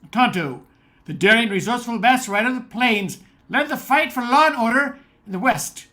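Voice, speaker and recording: A rousing old-time western announcer played by a woman, dramatic and grand in 1940s radio style, with a bright, forceful tone. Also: a little high.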